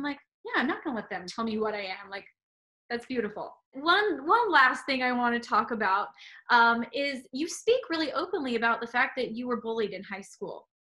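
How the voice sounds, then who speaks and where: A woman's voice: a little high, bright, forceful tone. A woman in her thirties hosting an interview over a video call, talking in an enthusiastic, emphatic way. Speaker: host. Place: small room indoors. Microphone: webcam on a video call.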